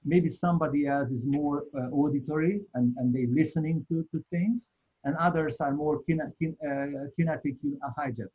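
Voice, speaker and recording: A man with a Hungarian accent gives a live online talk, earnest and halting, often repeating words as he searches for them. His voice is mellow and slightly husky. The audio is dull and lo-fi. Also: fairly high pitch, steady tone. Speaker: webinar presenter.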